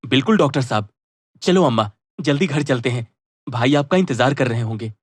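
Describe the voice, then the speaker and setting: Guy doing a sweet devoted cartoon stepson, kinda husky, dry sound. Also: mid-range voice, brisk, no echo, lightly acted. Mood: dutiful. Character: gentle, devoted young stepson. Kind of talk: Hindi cartoon moral-story voice acting.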